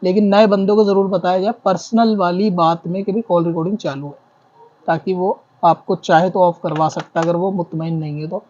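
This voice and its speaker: A man's voice: high-pitched, steady tone, fairly quick, a little mellow, slight background noise. A man explaining a religious ruling as a scholar, reasoning step by step and repeating his points in a didactic way. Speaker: Islamic scholar answering questions. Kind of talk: explanatory religious ruling in question-and-answer form. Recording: dull lo-fi audio.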